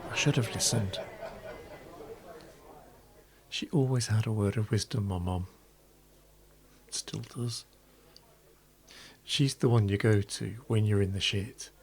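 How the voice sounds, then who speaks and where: Guy playing a drunk bloke pouring his heart out, creaky voice, really slow, halting and full of regret. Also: fairly low pitch. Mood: rueful, fond. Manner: halting, confessional, with whispered breaks. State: tipsy from red wine, acted. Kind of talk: dramatic monologue.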